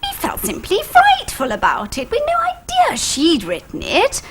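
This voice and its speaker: An actress playing a loud, bossy middle-aged neighbour woman who talks in a booming, brisk and hearty way, in a British TV sitcom. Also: wildly swooping pitch, heavy background noise, full character voice. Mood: apologetic. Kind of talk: 1980s British sitcom acting.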